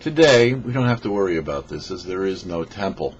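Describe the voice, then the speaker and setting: A man reading a scripted religious teaching as a rabbi and show host, phrasing deliberately and emphatically with pauses. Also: low-pitched, animated. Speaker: rabbi, host of a weekly Torah portion show. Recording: dull lo-fi audio with faint noise.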